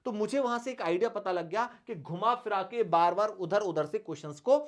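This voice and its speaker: A man's voice: high-pitched, brisk. A man teaching an online exam-preparation lesson, instructing students affectionately, repeating his points and posing rhetorical questions. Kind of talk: online coaching lesson, strategy talk to students.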